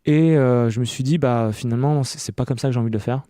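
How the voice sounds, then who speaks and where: A man in his early thirties from France, an interview guest telling personal stories conversationally in a hesitant, halting way that often doubles back on words. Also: mid-range voice, mellow, dry recording, studio quality. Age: early 30s. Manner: hesitant, halting delivery with many self-repetitions. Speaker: interview guest, clothing maker.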